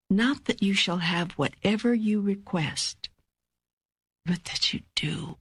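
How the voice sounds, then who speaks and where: An older woman voicing God as a calm, wise, authoritative teacher in an audiobook, with measured, emphatic phrasing and a husky voice. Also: medium pitch, no room sound, heavily acted. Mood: solemn, teaching. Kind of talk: audiobook dialogue reading.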